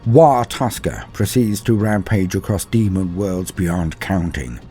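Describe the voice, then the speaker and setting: A man giving a measured, dramatic scripted narration of a fictional history. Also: sits low, dark tone, faint music behind. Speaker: lore narrator.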